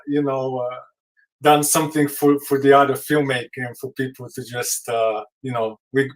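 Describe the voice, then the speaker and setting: A man who is a film director, hosting a live video call in a casual, halting way with many fillers and restarts. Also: medium pitch, a bit bright, far from the mic, low-quality recording. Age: adult.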